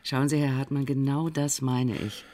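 Female therapist, radio drama: calm, probing, measured, slightly hesitant, naturalistic. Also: a bass voice. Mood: careful, probing. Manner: careful, measured questioning with small hesitations. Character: composed, probing female psychotherapist.

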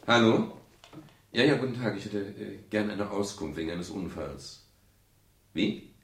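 An actor playing a courteous, dryly ironic private detective who also narrates, in a German radio crime play from the 1970s. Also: male voice, lowish voice, measured pace, breathy edge, no echo. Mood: polite, hesitant. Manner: hesitant, polite, dryly ironic. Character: wry, courteous male private detective, world-weary but soft-hearted.

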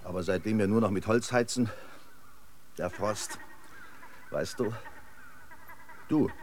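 An actor playing a chatty, eccentric local guide in a decayed city, rambling and effusively hospitable in a radio drama. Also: male voice, lowish voice, slow, darkish tone, a little hiss or hum. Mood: wistful, hospitable. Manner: effusive, rambling, quirky hospitality, chuckles to himself. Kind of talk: German radio drama acting.

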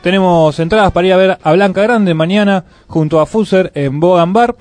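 A man's voice: high voice, brisk, no room sound, faint music behind. Argentine man hosting community radio. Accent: Argentine Spanish. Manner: relaxed, reads event listings conversationally. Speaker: radio host. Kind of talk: casual community radio chat.

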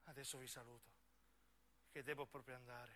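A male actor's portrayal of a young southern policeman in a radio drama. He speaks very softly and intimately, with a plaintive weariness. He sounds homesick and worn down, confiding as if to his mother. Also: mid-range voice, little pitch movement, brisk, voice pushed down, lightly acted. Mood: weary, homesick. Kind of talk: theatrical radio monologue.

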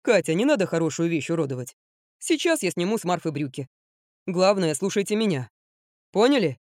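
A woman voicing a practical, good-natured older uncle in an audiobook, grumbling gently and gruffly. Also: on the low side, very animated, dry recording, studio quality. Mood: calming, businesslike. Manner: unhurried, gruffly good-humored.

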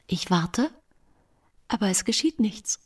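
An actress playing a despairing, pleading middle-aged accused woman, breathy and husky, in a radio drama inner monologue. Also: medium pitch, lively intonation, takes it slowly, distant mic. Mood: anxious.

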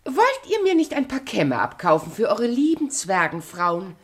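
A woman's voice: medium pitch, huge pitch swings, moderate pace, slightly rough. A woman playing a sly comb-seller who coaxes in a sweet, theatrical singsong for a children's radio play. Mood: coaxing, sweet. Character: wicked queen disguised as sweet wheedling comb-seller woman.

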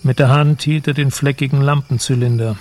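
A man narrating a literary radio play in a measured, solemn manner. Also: mid-range voice, fairly even intonation, a little mellow.

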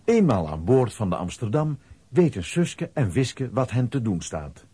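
A man narrating a children's radio play as an expressive storyteller reading a script. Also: mid-range voice, measured pace, slightly rough.

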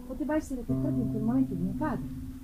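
A woman giving an intense, halting dramatic reading of a gothic novel, repeating words for effect and swinging between hushed and loud delivery. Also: high voice, loud music behind the voice, low-quality recording. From Italy. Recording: live festival recording with faint noise. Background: live musical accompaniment.

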